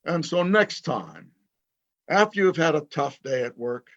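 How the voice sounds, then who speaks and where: Slow male announcer, slightly dull sound. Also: fairly high pitch, lively intonation. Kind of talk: scripted credits announcement.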